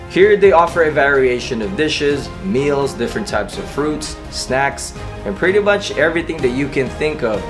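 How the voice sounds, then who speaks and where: A man who serves in the Army, giving an earnest, encouraging scripted voice-over and speaking straight to the viewer. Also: medium pitch. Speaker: Army soldier narrating. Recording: voice-over over loud background music. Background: music.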